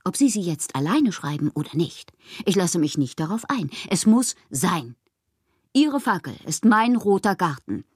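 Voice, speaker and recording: Husky, breathy, passionate bohemian poetess. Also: female voice, lowish voice, big pitch swings, measured pace, a little mellow, no room sound, studio quality, full character voice. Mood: insistent, fervent. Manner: theatrical, dreamy, often slipping into breathy whispers. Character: passionate, eccentric bohemian poetess reading out her fanciful letters. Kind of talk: dramatic literary monologue in a German radio play.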